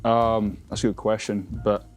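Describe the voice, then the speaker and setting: A man's voice: fairly low pitch, flat delivery, unhurried, soft background music. A Glaswegian man in his twenties, a confident, chatty dating show contestant who fills gaps with "like" and "um". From Scotland. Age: 20s. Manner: chatty, confident, many fillers.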